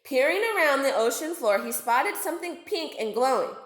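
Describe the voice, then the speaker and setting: A woman's voice: high voice, big pitch swings, normal speed. A woman reading a storybook aloud to children as a narrator. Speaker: storybook reader, teacher. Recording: echoey room.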